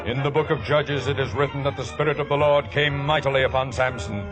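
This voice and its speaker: Actor as solemn radio narrator over music. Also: male voice, mid-range voice, fairly even intonation, natural voice. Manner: solemn, measured. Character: solemn male storyteller of a biblical tale. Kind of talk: scripted narration, 1950s radio drama.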